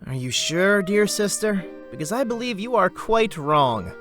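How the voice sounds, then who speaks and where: A man's voice: high-pitched, big pitch swings, measured pace, a bit bright, faint music behind, full character voice. A man playing a wry, exasperated young merchant heir and older brother in an audio drama, given to mock formality.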